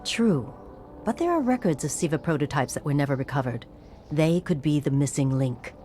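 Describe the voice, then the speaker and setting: An older woman acting as a learned scholar in a cinematic game, composed and precise with gentle dryness. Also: sits low, lively intonation, normal speed, soft background music. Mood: calm, informative. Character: learned older female scholar, calm and dryly wise.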